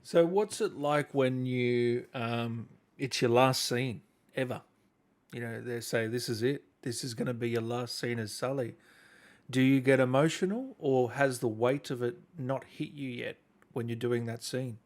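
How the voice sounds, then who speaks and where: A man's voice: medium pitch, unhurried. A man hosting an interview, asking questions in a casual, conversational style. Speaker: interviewer, gaming channel host.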